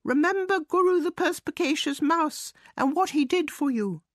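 A woman's voice: high voice, normal speed, no room sound, clean studio sound, pitched above the performer's own voice, close to an everyday voice. A woman voicing a small, self-important male mouse with a pompous, declamatory manner, as in a storybook reading. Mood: self-satisfied. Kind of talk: storybook character voice.

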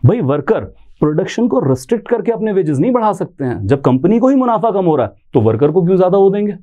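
A man's voice: high voice, big pitch swings, fast, dark tone. A man in his thirties with an Indian accent, lecturing in an explanatory, conversational way with emphasis and rhetorical questions, mixing in English terms. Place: indoor teaching studio in front of a screen.

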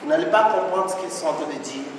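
A man's voice: fairly high pitch, fast. A man hosting a slam night, teasing and hyping the audience. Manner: playful, teasing, hyping the crowd. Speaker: event host, emcee. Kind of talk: stage emceeing. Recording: echoey hall from far off, loud crowd noise.

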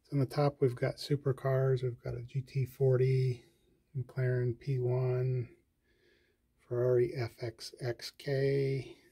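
A man casually narrating a tour of his collection, listing items in a slow, low-key way with pauses and fillers. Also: completely flat delivery, dark tone, breathy edge.

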